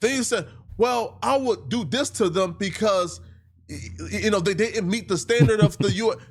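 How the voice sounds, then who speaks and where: A man's voice: sits high, normal speed, breathy edge. A man giving casual commentary, making his points through pointed rhetorical questions.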